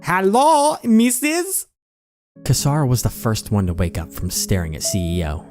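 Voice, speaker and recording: A man with a fairly low voice reads a story aloud as a dramatic narrator. His delivery is theatrical, and soft music plays behind him. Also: expressive, brisk. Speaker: channel host reading fan fiction aloud. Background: background music.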